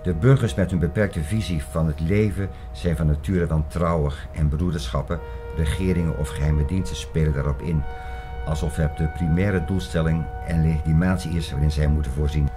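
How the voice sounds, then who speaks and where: Man playing a deep-voiced sceptical intellectual. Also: fairly even intonation, dark tone, soft background music. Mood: firm, sceptical. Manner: argumentative, lecturing, measured. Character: sceptical, learned older male friend named Klaus, dismissive. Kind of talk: radio drama dialogue acting.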